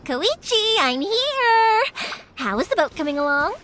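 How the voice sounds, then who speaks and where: A woman voicing a chatty, warm-hearted young fireworks maker in an animated game performance, excitable and given to rambling. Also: very high-pitched, a little slow, a little hiss or hum, full character voice. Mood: cheerful. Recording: background music under the voice.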